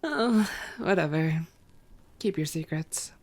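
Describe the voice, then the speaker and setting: Slow, sardonic female vampire played by a woman. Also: low voice, expressive, heavily acted. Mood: teasing, sarcastic. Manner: sarcastic, exasperated, casually profane. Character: sardonic, teasing female vampire, wounded and grumbling. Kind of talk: ASMR roleplay acting.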